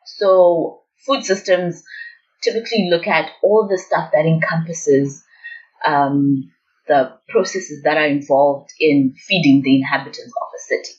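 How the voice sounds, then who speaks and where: A woman's voice: sits low, fairly even intonation, unhurried. A woman, explaining her research as an interview guest. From Zimbabwe. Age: 20s. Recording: dull lo-fi audio.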